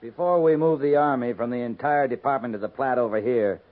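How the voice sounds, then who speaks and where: An actor playing a skeptical, principled army captain, terse and measured, in a 1950s radio drama. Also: male voice, mid-range voice, natural voice. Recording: tinny 1950s radio recording.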